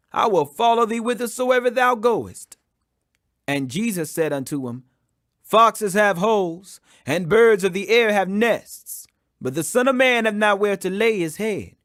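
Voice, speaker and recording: A man reading scripture aloud, emphatic and rising in pitch on quoted speech. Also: a bit bright, no room sound, studio quality. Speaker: Bible reader.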